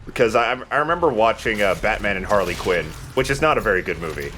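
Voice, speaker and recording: A man's voice: fairly low pitch, lively intonation, quick pace, noisy background. A man giving casual commentary while he plays a video game, speaking as the host of a let's play. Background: game music.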